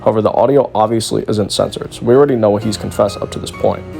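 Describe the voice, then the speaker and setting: A man reads a scripted exposé as a documentary narrator. He talks quickly in a lowish voice with plenty of vocal fry, over faint background music. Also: warm tone. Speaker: video narrator.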